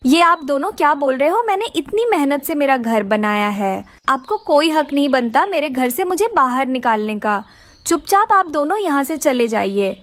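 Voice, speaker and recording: A woman performs a small, earnest female cartoon bird with a very high voice. She speaks in plaintive exclamations, with lively swings in pitch, politely pleading her case. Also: normal speed, no room sound. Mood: indignant. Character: distressed, hardworking, polite young female bird. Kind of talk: Hindi children's cartoon character voice.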